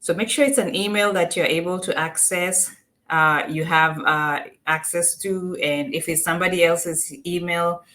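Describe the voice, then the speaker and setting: A woman's voice: lowish voice, fairly even intonation, normal speed, a bit bright. A Kenyan-accented woman presenting an informal step-by-step tutorial, repeating and rephrasing her points as she explains. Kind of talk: informal step-by-step screen walkthrough.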